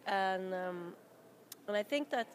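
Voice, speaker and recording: A woman's voice: medium pitch, animated, slow pace. Chatty Norwegian-raised woman, noisy venue. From Norway. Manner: chatty, rambling, laughs often. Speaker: band member. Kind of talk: casual interview chat. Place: busy festival venue. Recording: background noise.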